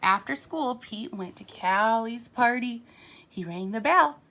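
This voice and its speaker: A woman's voice: a little high, animated, measured pace, pushed and bright. A woman reading a picture book aloud to young children like a teacher, expressively, with simple asides and questions to the listeners.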